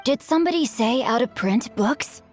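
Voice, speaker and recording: A woman voicing a courteous, bookish young gentleman in a video game, formal and eloquent in a flowery way. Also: fairly high pitch, animated, moderate pace, soft background music, close to an everyday voice. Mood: courteous, eager. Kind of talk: video game dialogue acting.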